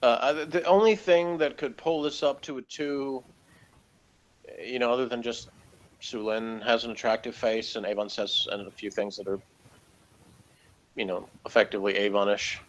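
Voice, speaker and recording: A man's voice: mid-range voice, steady tone, normal speed, dull audio. A man giving a casual, opinionated review in conversation, blunt and rambling in his criticism. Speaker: co-host of an episode review show.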